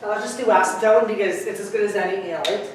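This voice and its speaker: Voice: mid-range voice, steady tone, fast, distant mic, a little hiss or hum. Chatty lecturer in an echoey hall. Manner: chatty, digressive, joking asides. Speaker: university chemistry lecturer. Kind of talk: classroom lecture.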